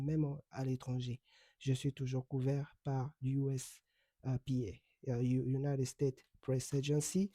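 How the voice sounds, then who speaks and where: Middle-aged Congolese-born man, Kikongo native, very dark mellow tone, measured and halting commentary, dry close mic. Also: medium pitch, no echo. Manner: measured, explanatory, with frequent hesitations. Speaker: online commentator and accredited journalist. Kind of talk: direct-to-camera commentary. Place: home in the United States.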